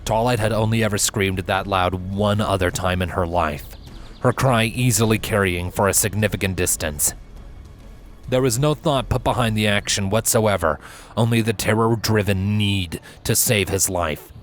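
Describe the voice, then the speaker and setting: A man reading a fantasy story as narrator, in an expressive, measured way with emphatic drawn-out phrases. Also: on the low side, slight background noise. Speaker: fan fiction narrator. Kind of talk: scripted dramatic story narration. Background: background music under the voice.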